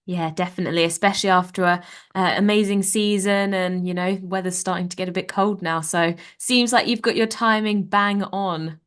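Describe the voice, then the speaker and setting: A woman's voice: medium pitch, steady tone, moderate pace, hardly any pauses, a bit bright, clean tone. A young woman hosting a podcast interview. She reads a scripted intro and then chats in an upbeat, encouraging way, full of praise. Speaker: podcast host.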